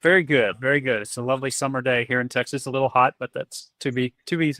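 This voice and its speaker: Male podcast host, mid-pitched, casual and rambling, dry close sound. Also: fast talker, sharp tone, dry recording. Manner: rambling, friendly, many restarts. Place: Texas.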